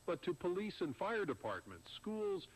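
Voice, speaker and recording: A man's voice: high-pitched, sing-song, normal speed, low-quality recording. A man playing a formal newsreader, delivering a scripted news report about public affairs. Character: formal newsreader announcing a government program.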